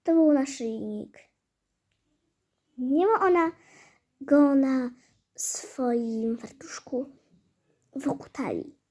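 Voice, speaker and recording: A woman storyteller narrating a fairy tale in a halting, hesitant way, with stumbles and repeated phrases. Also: high-pitched, warm tone. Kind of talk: fairy-tale storytelling for a hobby audio play.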